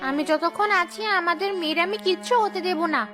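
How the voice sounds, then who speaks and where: A woman's voice: extremely high pitch, fast talker, over music. A woman voicing a doting, vengeful female ghost mother in a children's cartoon story, fussing and talking to herself. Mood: caring, fussing.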